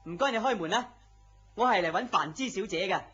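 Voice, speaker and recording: A man's voice: sits high, lively intonation, a little fast, pushed and bright, husky, faint music behind, full character voice. A man with a Hong Kong Cantonese accent playing a weary, melancholy, lovesick young man in a radio drama, earnest and plaintive. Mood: eager, tender. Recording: dull lo-fi radio drama audio.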